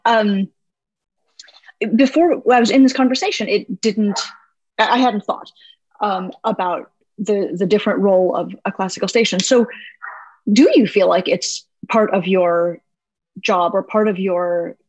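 A woman's voice: mid-range voice, measured pace, long pauses, a little mellow, no echo, muffled recording. Curious woman host, dry close sound. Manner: curious, eager, chatty with fillers. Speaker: podcast host. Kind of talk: casual interview chat. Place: home.

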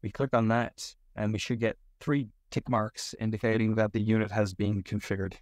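A man giving a step-by-step software tutorial as a tech support instructor. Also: lowish voice, little pitch movement, moderate pace, no room sound.

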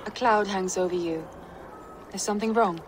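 An actress playing a composed, frank Viking noblewoman in a video game, measured and formal. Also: mid-range voice, a little hiss or hum, lightly acted. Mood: calm, concerned. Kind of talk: dramatic game cutscene acting.

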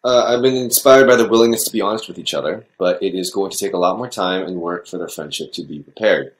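A man's voice: low voice, quick pace, natural voice, rough recording. A young man playing an earnest, concerned school counselor in a dramatized meeting on a video call, explaining carefully.

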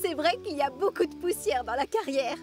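A woman voicing a young female steam engine in a children's cartoon, timid but willing, polite and expressive. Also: high-pitched, a little fast, music in the background. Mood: pleased. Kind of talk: animated children's series dubbing.

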